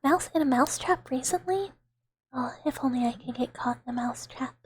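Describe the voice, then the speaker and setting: A young woman streaming ASMR, whispering softly to her chat with giggles and playful squeaky outbursts, close to the microphone. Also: moderate pace. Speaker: VTuber streamer.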